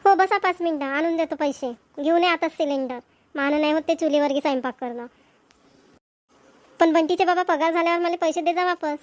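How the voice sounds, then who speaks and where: A woman's voice: very high voice, a little fast, no echo. A woman playing a practical, nagging village wife and mother in a cartoon comedy, with a Vidarbha Marathi accent.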